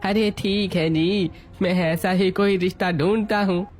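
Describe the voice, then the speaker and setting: A man's voice: sits high, fairly even intonation, unhurried, a little mellow, soft background music. A man playing a persuasive, sly marriage broker in an acted family story. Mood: obliging.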